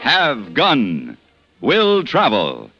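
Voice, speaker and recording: A man's voice: medium pitch, wildly swooping pitch, very slow, lo-fi sound. A man announcing a radio drama in a theatrical 1950s introduction style. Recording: band-limited old radio, loud music behind.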